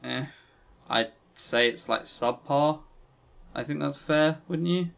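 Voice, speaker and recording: A man's voice: on the high side, slow pace, gravelly, fairly bright tone. Wry man chatting as podcast co-host. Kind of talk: casual unscripted podcast chat about games.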